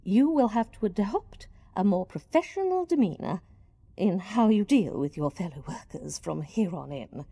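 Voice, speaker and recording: A woman's voice: medium pitch, lively intonation, moderate pace, warm tone, husky. A woman playing a stern, haughty senior company employee in a radio play, formal and scolding. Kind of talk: radio play monologue acting.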